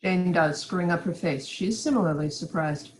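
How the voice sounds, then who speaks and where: A woman with a fairly low voice reads stage directions as scripted narration. There is some noise in the background of the recording. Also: fairly quick, rough recording.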